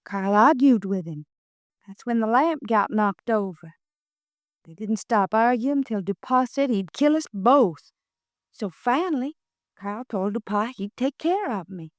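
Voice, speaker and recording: A woman's voice: fairly high pitch, lively intonation, a little slow, no room sound, studio quality. A frightened young woman. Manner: hushed, plain-spoken, ungrammatical. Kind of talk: 1950s radio drama acting.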